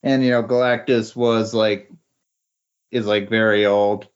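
A man's voice: fairly low pitch, level tone, measured pace, clear, resonant tone. A man chatting casually as a podcast guest, in a tentative, hesitant way. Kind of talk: casual chat. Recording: dull, lo-fi voice recording.

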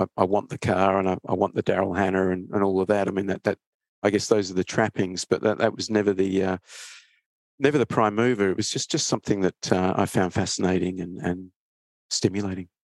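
A man with an Australian accent, talking casually as an interview guest, often restarting his phrases haltingly. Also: deep voice, steady tone, no room sound. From England, then Australia from age thirteen. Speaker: interview guest, trading educator.

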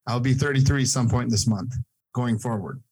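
A man's voice: medium pitch, moderate pace, a little mellow, breathy edge, poor audio. Teasing profane man in his thirties. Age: 30s. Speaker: podcast co-host. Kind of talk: casual banter with friends.

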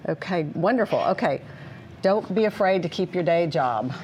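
The woman moderator at a business event, affirming her guest in a slightly low voice, with a faint noise behind her. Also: lively intonation, normal speed, a little mellow, low-quality recording. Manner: affirming, brief agreeing remarks. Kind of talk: casual panel moderating.

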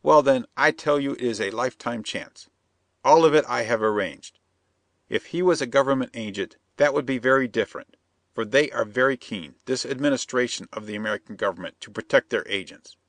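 A man's voice: mid-range voice, brisk, a bit bright, no echo, pitched above the performer's own voice, close to an everyday voice. A man voicing a vehement male schemer who talks in stiff, broken phrasing. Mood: insistent. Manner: insistent, persuasive. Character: scheming male plotter, vehement, stilted speech.

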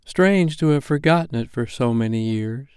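A man's voice: mid-range voice, expressive, normal speed, darkish tone, smooth, dry recording, studio quality, voice pushed up, natural voice. A mild solemn ghost, as a man reads it. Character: gentle, mild ghost guiding an old man. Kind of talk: audiobook character voice.

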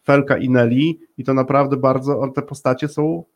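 Affirming male podcast host, medium pitch. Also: steady tone, normal speed. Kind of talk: conversational interview.

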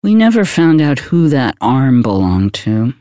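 An actor playing an older, world-weary retired homicide detective, brooding through a noir radio theater monologue. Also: male voice, medium pitch, big pitch swings, warm tone, no echo, clean studio sound. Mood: haunted, wry. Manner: brooding, deliberate noir storytelling.

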